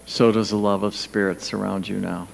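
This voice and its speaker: A man leading a guided meditation, speaking gently and soothingly in short, spaced phrases with pauses. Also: fairly low pitch, flat delivery, vocal fry, rough recording. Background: background music.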